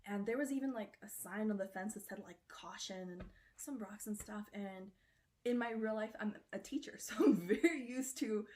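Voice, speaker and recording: Woman, cosplayer interviewee, casual chatty delivery, middle pitch. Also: moderate pace, a little mellow. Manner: chatty, loose, with frequent fillers.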